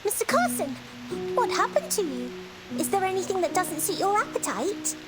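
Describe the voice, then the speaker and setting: A woman's voice: very high voice, sing-song, normal speed. An actress playing a sheltered, earnest young noble lady in a video game, polite and wide-eyed, with music behind.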